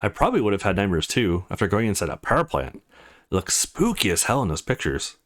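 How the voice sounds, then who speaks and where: A man voicing a brash, teasing, foul-mouthed teenage girl in a visual novel, blunt and excitable. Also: on the low side, brisk, voice pushed down. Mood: sympathetic. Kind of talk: visual novel character reading.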